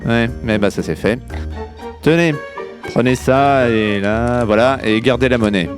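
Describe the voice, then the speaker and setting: A man's voice: mid-range voice, unhurried, close to an everyday voice. Over prominent music comes a man acting as a squeamish village police inspector in an audio comedy. He is casual and a bit hesitant, a bumbling detective with an everyday voice. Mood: offhand. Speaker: narrator and character in audio comedy. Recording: music under the voice.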